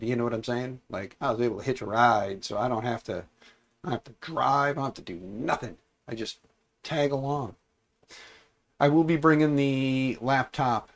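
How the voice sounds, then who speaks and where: A man hosting a casual livestream, congested and raspier than usual, rambling and repeating himself as he talks to his chat. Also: medium pitch. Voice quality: raspy, congested. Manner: mild swearing, offhand. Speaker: livestream host.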